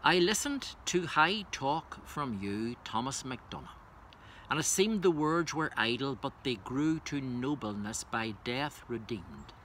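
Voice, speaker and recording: A man with a bright, forceful voice at a middling pitch, presenting poetry in a measured manner. His delivery suits a reader addressing listeners with verse and commentary. A faint background noise runs under the recording. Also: animated. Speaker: reader introducing and reciting a poem. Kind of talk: informal spoken introduction and poetry recitation.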